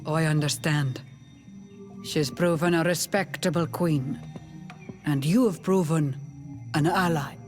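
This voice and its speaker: Proud clan woman, lower voice. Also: fairly even intonation, slow pace, pauses often, a little mellow, faint music behind. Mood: grudging respect. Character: proud, guarded native clan woman leader. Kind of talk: scripted video game voice acting.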